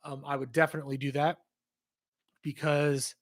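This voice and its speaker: A man hosting a casual livestream Q&A, rambling as he answers viewer questions, his voice strained and hoarse from losing it. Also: fairly high pitch, flat delivery, moderate pace. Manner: rambling, conversational, reads out and answers chat questions.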